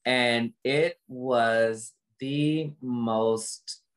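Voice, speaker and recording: Woman w a low voice, guest on a video call interview, opening up in an emphatic reflective way. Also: drawn-out words. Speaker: interview guest, motivational speaker. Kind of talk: reflective personal talk in an interview.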